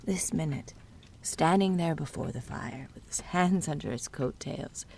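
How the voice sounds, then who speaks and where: A woman playing a sentimental old maiden lady in a dramatized audiobook, with a solemn, wistful, hushed manner. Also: sits low, unhurried, vocal fry, a little hiss or hum. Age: older.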